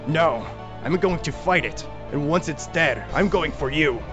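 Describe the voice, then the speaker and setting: A man's voice: on the high side, animated, unhurried, muffled recording, music in the background. An actor playing a defiant, combative man ready for a fight in an audio drama.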